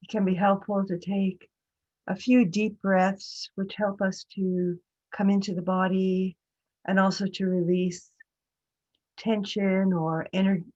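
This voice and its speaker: Woman meditation teacher, really slow n even, gentle, lots of pauses, kinda muffled call audio. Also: mid-range voice. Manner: hesitant, gentle phrasing with long pauses. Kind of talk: guided meditation.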